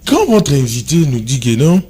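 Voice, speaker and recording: A man's voice: mid-range voice, wildly swooping pitch, moderate pace, mellow, husky. A man from DR Congo with a Congolese accent, debating politics in an insistent, explanatory way and repeating phrases.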